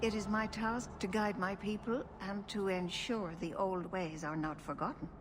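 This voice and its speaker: An actress playing a wise, solemn elven clan keeper in a fantasy video game, speaking in a measured, formal way. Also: female voice, mid-range voice, steady tone, natural voice. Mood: calm, mystical. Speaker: clan leader and spiritual guide.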